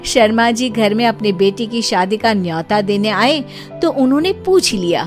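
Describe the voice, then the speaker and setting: A woman narrating a children's moral story in a lively, playful way. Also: medium pitch, moderate pace, soft background music.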